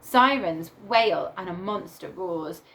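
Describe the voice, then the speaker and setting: A woman teacher reading a picture book aloud to young children in a deliberate, animated storytelling way. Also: on the low side. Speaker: primary school teacher reading to her class.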